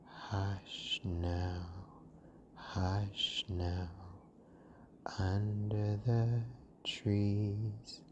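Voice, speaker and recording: A storyteller giving a slow, soothing bedtime narration in a gentle, hushed and breathy delivery. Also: low-pitched, flat delivery, lo-fi sound, background noise.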